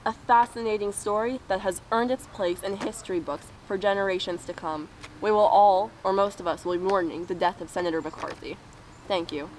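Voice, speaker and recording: Young female co-presenter, mid-pitched, scripted biography read on a slightly noisy amateur recording. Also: a little fast, darkish tone.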